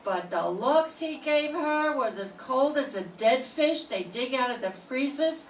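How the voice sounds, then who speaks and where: An older woman playing a wry, streetwise young woman informant in a 1940s-style radio drama, telling her story slowly. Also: high-pitched, animated. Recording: roomy classroom from a distant mic, faint hiss.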